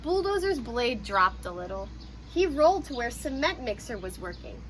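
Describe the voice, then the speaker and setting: Casting: female read-aloud storyteller, high voice, very lively intonation, some background noise. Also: normal speed. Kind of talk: expressive children's story narration.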